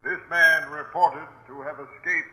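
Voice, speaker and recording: A man reading a 1940s radio bulletin deliberately, item by item, in clipped scripted phrases. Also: fairly high pitch, steady tone, pushed and bright. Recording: roomy, echoing 1940s radio recording.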